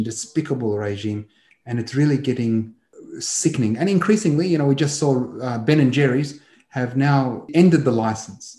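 A man's voice: medium pitch, mellow, reverberant. A man with an Australian accent hosting a political talk show, speaking with passion and listing grievances at length. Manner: impassioned, lists grievances at length. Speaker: host.

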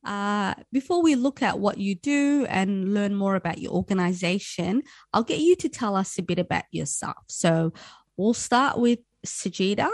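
A woman interviews guests for radio in a conversational way. Her pitch is average for a woman, and the sound is dry, without room echo. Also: animated, moderate pace.

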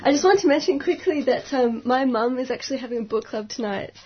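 Woman, radio co-host, higher voice, breathy and dull-sounding, earnest. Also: lively intonation, fast, a little hiss or hum. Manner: earnest, hesitant. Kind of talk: radio chat.

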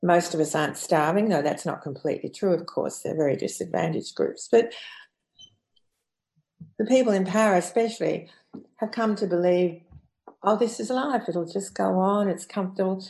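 A woman guest speaking reflectively and in measured short phrases in a panel discussion. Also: on the low side, gravelly, warm tone. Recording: roomy, echoey sound.

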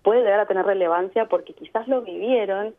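A woman's voice: medium pitch, brisk. Argentine woman talking over a tinny phone line. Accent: Argentine Spanish. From Mendoza, Argentina. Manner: thoughtful, explanatory, frequent tag questions. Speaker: guest, immigrant sharing experience. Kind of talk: reflective radio interview by phone.

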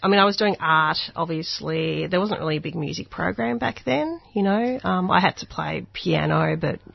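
A woman's voice: on the low side, normal speed, gravelly, no room sound, muffled recording. A woman in her forties with an Australian accent, a musician guest talking casually and hesitantly, with many ums and likes. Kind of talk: casual interview.

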